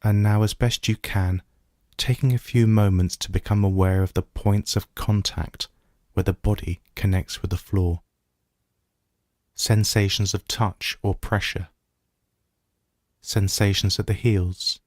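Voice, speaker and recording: Slow, deep-voiced male meditation guide. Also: steady tone, no echo, studio quality. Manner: gentle, unhurried instruction with measured pauses. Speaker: meditation teacher. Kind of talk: guided body scan meditation.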